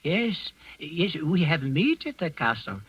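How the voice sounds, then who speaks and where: A husky old castle servant, on a dull vintage radio recording with music. His high voice moves around a lot as he defers politely, guarded and faintly defiant. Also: sing-song, unhurried, no room sound, full character voice. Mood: deferential. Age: 60s or older. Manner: deferential, hesitant, evasive. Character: elderly, guarded Danish castle manservant, deferential yet dryly defiant. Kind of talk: 1940s radio drama acting.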